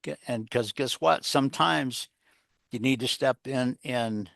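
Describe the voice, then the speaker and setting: A man's voice: mid-range voice, a little slow, gravelly, a bit bright, no echo, clean studio sound. A man giving conversational advice as an interview guest, rambling, circling back over phrases and posing rhetorical questions. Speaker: interview guest, leadership author and coach.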